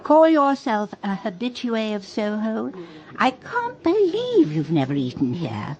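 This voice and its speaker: Very lively, high British bohemian. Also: female voice, a little slow, lo-fi sound. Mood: teasing. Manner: playful, worldly, teasing. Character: breezy, teasing bohemian former artists' model. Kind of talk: radio drama dialogue.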